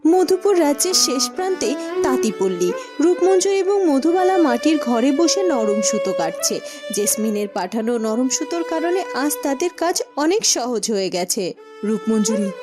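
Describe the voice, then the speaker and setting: A woman's voice: on the high side, natural voice. A woman narrating and acting in a dramatic cartoon fairytale style. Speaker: narrator and character voice. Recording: music under the voice.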